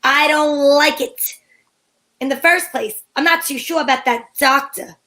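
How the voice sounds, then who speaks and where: A breezy, flirtatious lady detective acted by a woman with a high voice. She wisecracks archly in the style of a 1940s radio mystery, moving between narration and banter. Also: measured pace, sharp tone. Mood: breezy, suspicious. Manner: arch, wisecracking, endearments. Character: sassy female private eye, flirtatious and breezy.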